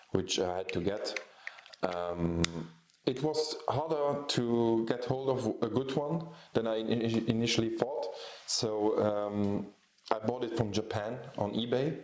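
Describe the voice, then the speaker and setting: Slow, deep-voiced man from Luxembourg. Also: darkish tone, roomy sound. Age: 40s. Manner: hesitant, many ums and restarts. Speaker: photographer and YouTube host. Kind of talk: casual vlog talk.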